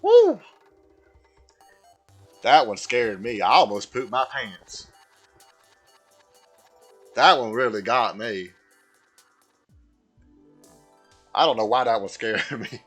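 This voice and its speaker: A man voicing a slow, simple, earnest Southern American man with a folksy drawl while chatting on a gaming livestream. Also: on the low side, a bit bright, slightly rough. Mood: startled. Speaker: video game streamer. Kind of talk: livestream gaming chat held in a put-on voice. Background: music.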